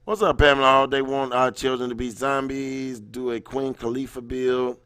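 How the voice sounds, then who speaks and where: A man's voice: mid-range voice, little pitch movement, moderate pace, a bit bright, clean tone, dry recording. A man hosting a livestream in an informal, slangy way, moving between reading aloud and casual chat with viewers. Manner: informal, slangy, greets viewers by name mid-thought. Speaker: livestream host.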